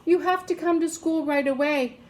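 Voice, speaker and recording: A woman's voice: sits high, moderate pace, darkish tone, pitched above the performer's own voice, lightly acted, poor audio. A woman voicing an earnest, exasperated young schoolboy in a storybook, emphatic and complaining. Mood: exasperated, urgent.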